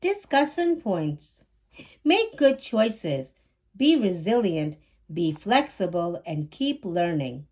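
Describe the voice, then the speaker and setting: A woman's voice: lowish voice, big pitch swings, slow, darkish tone. A woman hosting a children's storytime, reading aloud as a storyteller.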